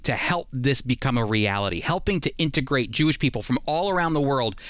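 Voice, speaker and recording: A man's voice: medium pitch, expressive, brisk, a bit bright, no echo. Male radio host, conversational delivery, middle register, close and dry.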